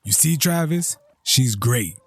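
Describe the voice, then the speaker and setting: A man playing an affectionate, teasing husband and father with a husky voice in an audio drama. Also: medium pitch, lively intonation, slow pace, heavily acted.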